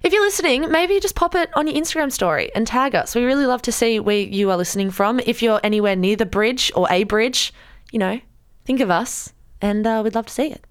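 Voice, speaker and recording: A woman's voice: on the high side, lively intonation, brisk, clean tone. A woman co-hosting a radio podcast, chatting casually and enthusiastically about new music while a little blocked up with a cold. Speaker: podcast co-host. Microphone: studio microphone.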